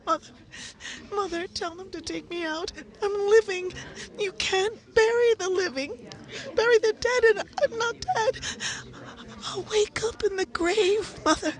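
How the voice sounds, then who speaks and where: A young bride sealed alive in her coffin. Her voice is extremely high and drawn out, anguished and pleading. It is played in the manner of 1930s horror radio drama. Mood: hysterical, insistent. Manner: slow, anguished, tearful pleading.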